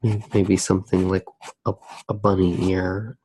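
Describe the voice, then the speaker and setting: A man softly narrating his drawing for ASMR, hushed, unhurried and musing as he works. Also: low-pitched, little pitch movement, slight background noise, rough recording.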